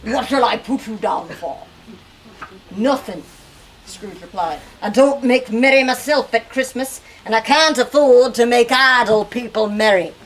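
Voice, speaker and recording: A woman leading a lively book talk in a bookstore, asking questions and switching into dramatic readings. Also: a little high, unhurried. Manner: animated, asks questions, shifts into dramatic reading. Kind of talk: dramatic reading and discussion with children. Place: bookstore event space. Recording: distant mic, noisy room.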